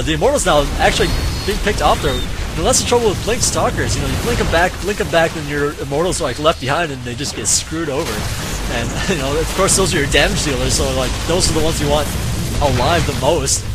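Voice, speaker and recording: A man casually commentating a strategy game match, halting with many fillers, his voice going hoarse. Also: a little high. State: voice getting hoarse. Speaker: game caster.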